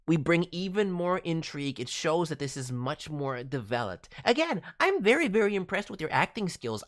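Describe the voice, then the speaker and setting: Casting: woman as voice-director host, lowish and animated, giving emphatic, encouraging commentary. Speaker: host, voice director reviewing demo reels. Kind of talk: spoken critique, livestream commentary.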